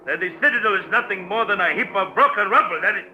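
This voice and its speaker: A man's voice: sits high, quick pace, bright, forceful tone. An actor playing an arrogant male coup plotter who barks orders, in 1940s radio-drama style. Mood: frantic. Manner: barking commands, then disbelieving. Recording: muffled old radio recording with faint hiss.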